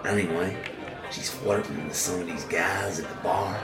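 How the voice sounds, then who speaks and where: An actor playing a defiant convict serving life, talking in coarse drawling slang with a sneer. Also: male voice, low-pitched, fairly even intonation, moderate pace, loud music behind the voice, natural voice. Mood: storytelling, cold. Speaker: prison inmate. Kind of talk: dramatic dialogue acting. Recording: roomy echo.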